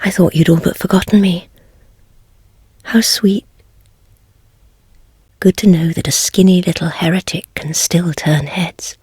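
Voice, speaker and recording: An actress playing a wry, self-deprecating heretic sorceress in a dark fantasy game, with a husky, breathy voice and a languid, teasing, formal manner. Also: female voice, on the low side, fairly even intonation, a little slow, pauses often, dry recording. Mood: wry, softened.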